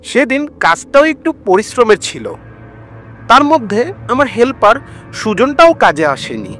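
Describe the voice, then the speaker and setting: A man's voice: very high-pitched, sing-song, a little slow, a bit bright. A man narrating a first-person horror story in a suspenseful, dramatic way, as a frightened and weary ambulance driver. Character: frightened, weary male ambulance driver telling his tale. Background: music.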